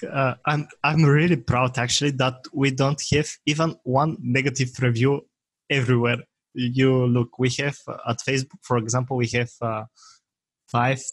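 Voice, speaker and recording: A man with a Bulgarian accent speaks in a plain middle register, explaining things haltingly as he hunts for the right English words. The sound is close and dry, with no room around it. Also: medium pitch, no echo. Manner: halting, searching for words, laughs often. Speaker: guest, software maker. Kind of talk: casual interview talk.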